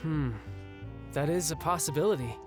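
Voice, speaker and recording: Man as young male game warrior, fairly high voice, casual, reflective, restless battle-hungry temper, soft music behind. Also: moderate pace, natural voice. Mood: pensive. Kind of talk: video game cutscene acting.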